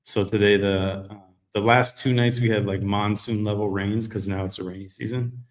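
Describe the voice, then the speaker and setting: A man hosting a live men's group talk show, chatting in a relaxed, rambling way. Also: lowish voice, moderate pace, roomy sound. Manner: relaxed, rambling, self-correcting. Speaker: host. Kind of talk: casual live chat.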